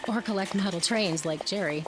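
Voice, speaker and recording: An actress playing a friendly, helpful suburban wife chatting colloquially with a close friend in a modern radio drama. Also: female voice, mid-range voice, a little fast, over music, close to an everyday voice, rough recording.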